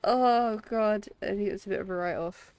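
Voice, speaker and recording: Creaky-voiced young woman streamer. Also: medium pitch, moderate pace, darkish tone. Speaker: game streamer. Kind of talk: casual livestream chat.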